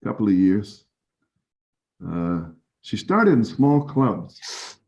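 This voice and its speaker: An actor playing a halting, evasive man in his forties in a dramatic 1930s scene. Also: fairly low pitch, big pitch swings, creaky, a little mellow, exaggerated voice. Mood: hesitant, evasive. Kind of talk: dramatic two-person scene reading. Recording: dull lo-fi audio.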